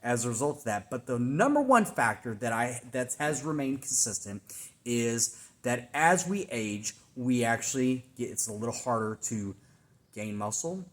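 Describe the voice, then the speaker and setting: Brief: 40s male trainer, relaxed chatty vlog delivery, mid-pitched, explains with filler words. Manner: conversational, explanatory, many fillers and restarts. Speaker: fitness trainer. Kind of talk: casual weekly check-in vlog. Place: home workout room.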